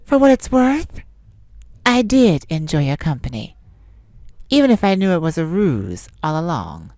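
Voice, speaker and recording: A cunning male vampire flirts and taunts in a soft, close ASMR delivery, voiced by a woman. The voice sits a little low and drawls unhurriedly. It swoops up and down playfully, with a dry, close sound. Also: big pitch swings, no echo. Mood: wry bargaining. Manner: teasing, soft-spoken, slow and taunting. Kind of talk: ASMR roleplay audio.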